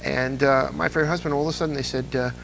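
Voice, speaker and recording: A man's voice: mid-range voice, steady tone, rapid-fire, gravelly, fairly bright tone, slight background noise, poor audio. A man being interviewed as an old-time radio fan, telling anecdotes eagerly with plenty of fillers. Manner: enthusiastic, anecdotal storyteller with many fillers. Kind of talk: casual interview.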